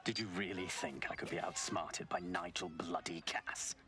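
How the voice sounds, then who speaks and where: A man playing an arrogant, gloating British villain in a video game, smug and forceful. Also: sits low, fairly even intonation, moderate pace, faint music behind. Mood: smug, triumphant. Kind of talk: video game cutscene acting. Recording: distant from the mic.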